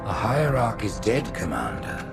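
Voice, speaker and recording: An actor playing an imperious, scheming alien prophet in a video game, pompous and theatrical, with a gravelly condescension. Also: male voice, on the low side, huge pitch swings, measured pace, exaggerated voice. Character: haughty, scheming alien high priest, imperious and weary. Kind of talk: cinematic video game acting. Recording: clear music behind the voice. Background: dramatic music.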